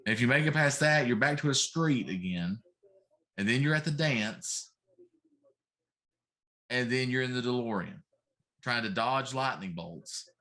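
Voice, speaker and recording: A man's voice: medium pitch, expressive, a little slow, long pauses, sharp tone. A middle-aged man hosting a casual talk show, chatting about games in an emphatic, complaining way.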